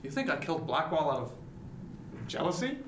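An actor playing a brooding, proud first mate on a merchant ship in a period radio drama, grave and resolute in manner. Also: male voice, fairly high pitch, sing-song, fairly quick, a bit bright, a little hiss or hum. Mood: heated. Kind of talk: serial radio drama acting, period seafaring. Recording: echoey room, guitar music behind.

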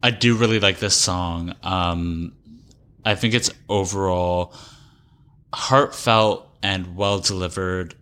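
A man's voice: deep voice, steady tone, takes it slowly, bright, forceful tone, smooth. A man co-hosting a casual music discussion in a hedging, conversational manner. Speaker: podcast co-host.

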